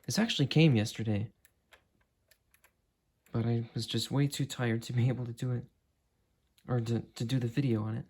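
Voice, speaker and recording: A young man in his late teens giving a casual, unscripted product demo in a halting, understated way. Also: medium pitch, fairly even intonation, darkish tone, breathy edge. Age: late teens, young adult. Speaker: hobbyist reviewer. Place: indoor room at a desk.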